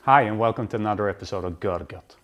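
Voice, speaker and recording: Low-voiced man hosting a cooking show. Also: fast, over music. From Belgium. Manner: relaxed step-by-step explaining. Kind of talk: casual cooking demonstration. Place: home kitchen.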